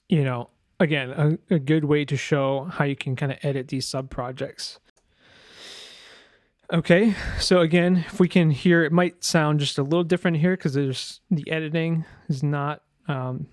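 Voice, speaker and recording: A man's voice: a little high, no echo, studio quality. A man talking casually through a screen-share tutorial as a sound designer, informal and loose, thinking aloud as he goes.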